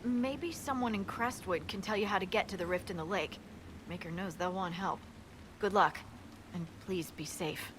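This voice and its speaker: A woman's voice: on the low side, moderate pace, natural voice. An actress playing a practical scout in a fantasy video game, giving a matter-of-fact briefing to her commander. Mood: businesslike. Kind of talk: video game cutscene acting. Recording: noisy rainy outdoor ambience.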